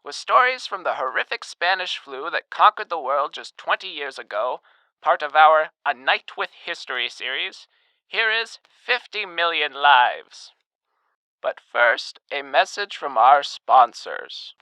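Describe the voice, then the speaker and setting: A man acting as a 1938 radio announcer, very bright and forceful, with crisp diction. His narration is formal, theatrical and grave, recorded close and dry. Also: a little high, lively intonation, unhurried, no echo, heavily acted, rough recording. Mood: showmanlike. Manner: crisp, formal, dramatic delivery.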